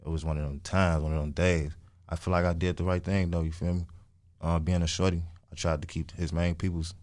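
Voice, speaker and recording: Young man, early twenties. Very low voice, dry close sound, slangy and emphatic interview storytelling. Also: flat delivery, a little fast, darkish tone, no room sound. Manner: emphatic, repeats phrases, frequent swearing. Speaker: interview guest, music artist.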